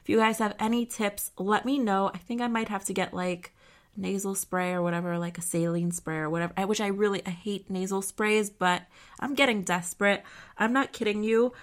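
A woman's voice: mid-range voice, steady tone, brisk. A young woman hosting her own podcast, chatting casually and rambling with lots of fillers, her voice nasal and congested from sinus trouble. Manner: chatty and rambling, many fillers and self-corrections. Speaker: podcast host. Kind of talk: casual solo podcast chat.

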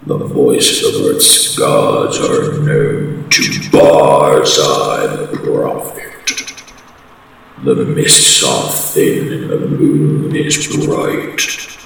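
An actor playing a proud, fanatical old prophet in a horror audio drama, proclaiming grandly in a rasping voice. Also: male voice, a bass voice, fairly bright tone, heavily acted. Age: older. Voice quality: very gravelly, rasping. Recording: cavernous echo, distant, with background noise.

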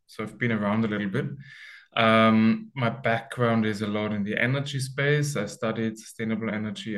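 A man from Austria, a founder as interview guest, explaining step by step over a remote call with many ums. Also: on the low side. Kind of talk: casual interview talk.

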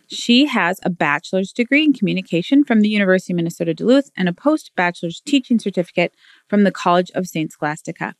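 A woman's voice: lowish voice, expressive, fast, dry recording. A woman hosting a podcast, reading an enthusiastic, admiring introduction of her guest. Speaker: podcast host.

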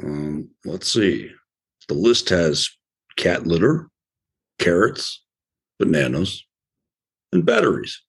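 A man voicing a sensible, patient male elephant in a children's cartoon, explaining things calmly. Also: low-pitched, slow, creaky, long pauses, husky, exaggerated voice. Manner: patient, explaining, mildly fussy.

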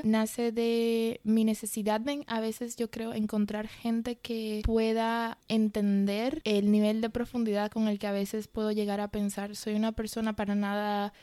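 A woman's voice: mid-range voice, flat delivery, unhurried, few pauses, dark tone, no room sound. A woman in her early twenties with a mixed Madrid and Dominican accent, talking casually and reflectively as a podcast host, with the odd English word. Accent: mixed Madrid and Dominican Spanish. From Madrid, Spain, with Dominican parents. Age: early 20s. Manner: chatty and reflective, drops in occasional English words. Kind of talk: casual personal monologue.

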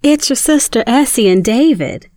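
A woman acting an excitable, supportive, newly engaged sister in an audio drama, chatty and eager. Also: on the high side, normal speed, no room sound, studio quality, lightly acted. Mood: excited.

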